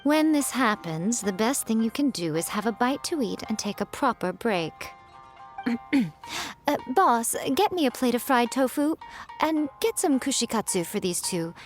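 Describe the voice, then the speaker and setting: Sly fox spirit lady, smooth and teasing, kinda high voice. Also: very animated, soft background music. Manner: smooth, teasing, unhurried and knowing. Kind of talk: video game cutscene voice acting.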